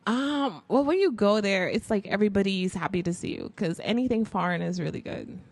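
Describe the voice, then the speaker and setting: A woman's voice: mid-range voice, brisk, dry recording. A young woman with a Guyanese accent, chatting as a podcast guest and laughing often as she tells stories. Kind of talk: casual interview chat.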